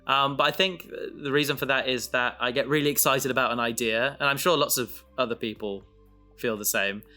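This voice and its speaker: A man talks casually and reflectively in a bright, forward voice. He pieces thoughts together as he speaks, in a halting way. Soft guitar music plays faintly behind him. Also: medium pitch, fairly even intonation. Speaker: podcast creator and host.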